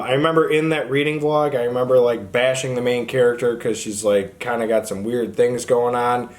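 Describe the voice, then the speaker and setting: A man with a mid-pitched voice talks casually to viewers as a book reviewer. His delivery is unscripted and informal, with frank opinions and plenty of conversational filler. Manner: informal, opinionated, frequent "like" and "honestly". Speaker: book reviewer, video host.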